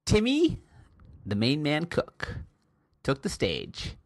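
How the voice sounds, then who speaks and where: A man hosting a casual podcast, his booming voice rising and falling in lively swings. He talks close to the mic in a dry, dead room, chatty and teasing. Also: dry recording. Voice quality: loud booming voice. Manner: chatty and teasing, quick asides. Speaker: podcast host. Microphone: close podcast mic, pops.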